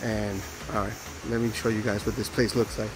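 A man's voice: on the low side, flat delivery, brisk, noisy background. A man in his forties, raised in the United States, talking casually to a handheld camera outdoors as a travel vlogger, full of fillers and restarts. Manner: rambling, filler-heavy, friendly aside-style talk. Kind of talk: casual vlog narration to camera. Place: outdoors at a rainforest park.

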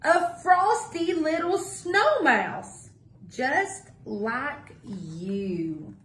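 A woman voicing a cheerful, encouraging father mouse from a picture book in a warm, playful manner. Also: high-pitched, expressive, very slow, full character voice. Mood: delighted. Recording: echoey small room.

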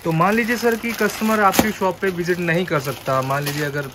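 Man, host, slightly high voice, addresses viewers, faint room noise. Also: fairly even intonation, brisk. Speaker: video host. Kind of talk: casual interview and promo.

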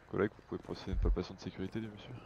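A man's voice: sits low, level tone, racing, gravelly. A man playing a police officer in an online roleplay, formal and matter-of-fact, over a dull voice chat. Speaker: player acting as a police officer. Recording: dull, faintly noisy voice chat.

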